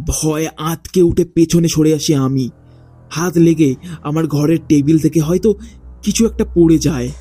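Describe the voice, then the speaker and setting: A man narrating a first-person ghost story in a dramatic, suspenseful way with deliberate pauses. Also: a little high, dark tone, slightly rough. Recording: faint music behind the voice. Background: faint background music.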